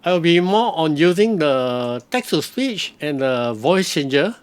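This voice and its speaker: High-voiced man narrating a software demo. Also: very animated, measured pace, smooth. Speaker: software reviewer. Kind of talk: screen-recorded tutorial narration.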